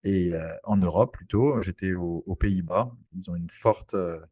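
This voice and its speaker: A man's voice: low voice, unhurried, warm tone, no room sound, clean studio sound. A man talks informally in an interview, explaining things in a conversational way. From French Antilles, Saint-Barthélemy. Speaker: podcast guest, real estate investor.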